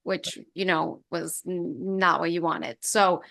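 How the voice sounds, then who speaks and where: A woman's voice: lowish voice, little pitch movement, slow pace, gravelly, a bit bright. A woman hosting a podcast, reading an upbeat introduction and then asking her guest questions with enthusiasm. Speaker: podcast host.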